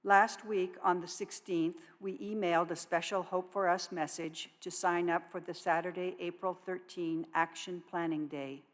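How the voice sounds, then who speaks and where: A woman reading scripted announcements to a congregation in a measured public voice. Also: lowish voice, fairly even intonation. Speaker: worship associate reading church announcements. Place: church sanctuary. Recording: long reverb of a large hall.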